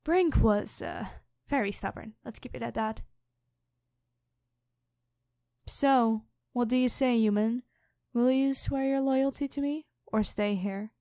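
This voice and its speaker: An imperious dragon queen in human form, acted by a woman, speaks in a fairly high, mellow voice. She is regal and condescending, laying down terms with calm menace. It is an intimate ASMR roleplay, recorded very dry. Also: unhurried, no echo. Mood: reassuring, insistent. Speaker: voice actor in an audio roleplay. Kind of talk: ASMR roleplay monologue addressed to the listener.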